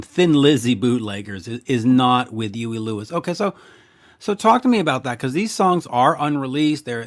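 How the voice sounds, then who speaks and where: Man asking long rambling questions. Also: mid-range voice. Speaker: interviewer host. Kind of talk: interview questions.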